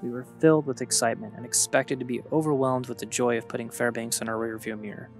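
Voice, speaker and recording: A man reading a reflective scripted travel voice-over in an earnest, measured way. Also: mid-range voice, fairly even intonation, faint music behind. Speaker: vlogger narrating own travel story.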